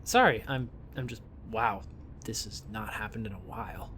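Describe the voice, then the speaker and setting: A man's voice: on the low side, normal speed, a bit bright, a little hiss or hum, lightly acted. A man in an audio drama playing an awkward, timid young man from a small town, hesitant and apologetic. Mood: flustered, shy. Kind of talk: naturalistic audio drama acting.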